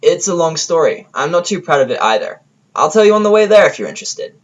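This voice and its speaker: A man voicing a brash, eager young female pegasus pony in an audio drama, with energetic, exclamatory delivery. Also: fairly high pitch, quick pace, muffled recording, voice pushed up. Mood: sheepish.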